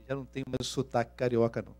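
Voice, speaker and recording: A man from Rio with a Minas Gerais accent, preaching a sermon, swinging from hushed asides to loud, drawn-out emphasis. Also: medium pitch, flat delivery, faint music behind. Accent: Minas Gerais Portuguese. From Rio de Janeiro, Brazil. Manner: shifts from hushed asides to loud, slow emphatic scripture reading. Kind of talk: sermon to a congregation.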